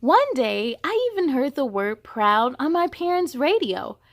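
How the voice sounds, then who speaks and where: Slow, high-pitched woman reading to children. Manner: expressive, encouraging, chatty asides to child viewers. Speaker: children's story-time host. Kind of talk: animated children's read-aloud.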